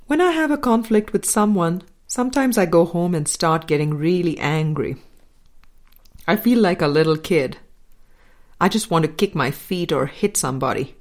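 Woman audiobook reader, spiritual text, unhurried and very slow, slightly low voice, deliberate pauses. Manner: measured, deliberate, with pauses between short phrases. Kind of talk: scripted reading of a spiritual dialogue.

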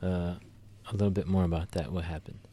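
A man with a low-pitched voice co-hosting a conversational show. He talks things through, stopping and restarting often with plenty of ums. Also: steady tone, brisk, dark tone, a little hiss or hum. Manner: thoughtful, many fillers and restarts. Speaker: podcast co-host. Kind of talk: casual political discussion.

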